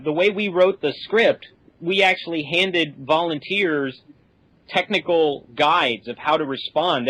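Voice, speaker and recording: A man's voice: a little high, fairly bright tone, no room sound, poor audio. A man speaking as a podcast guest, explaining things informally. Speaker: podcast guest, space simulation specialist.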